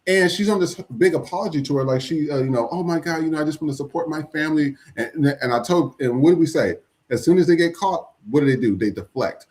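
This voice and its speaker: A man's voice: fairly high pitch, fast talker, hardly any pauses, darkish tone. A man hosting a podcast, giving blunt, profane commentary on the news. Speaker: podcast host. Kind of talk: casual commentary.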